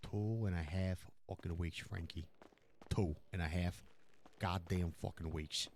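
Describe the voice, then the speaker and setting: Man acting as gangster boss, New York Italian-American accent, low pitch, profane, menacing, repetitive, game roleplay. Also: fairly even intonation, measured pace, a little mellow, slight background noise. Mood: angry. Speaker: streamer playing a crime boss in online roleplay.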